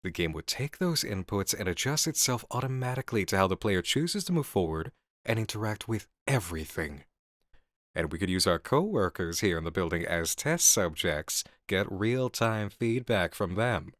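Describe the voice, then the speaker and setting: A man playing a calm, calculating game developer with measured, deliberate, articulate delivery in a scripted ASMR horror roleplay. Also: lowish voice, no echo, studio quality. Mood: focused, eager. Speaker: voice actor in an audio roleplay.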